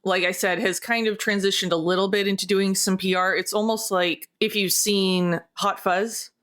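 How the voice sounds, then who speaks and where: A woman's voice: medium pitch, steady tone, moderate pace, fairly bright tone. A woman chatting casually as a player in a tabletop game discussion. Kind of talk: casual chat.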